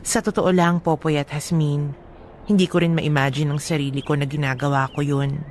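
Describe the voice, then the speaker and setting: A woman's voice: low voice, fairly even intonation, normal speed, a little mellow, slight background noise, natural voice. An actress playing a lovelorn young woman who narrates her own radio drama story with wistful, reflective regret. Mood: regretful, reflective.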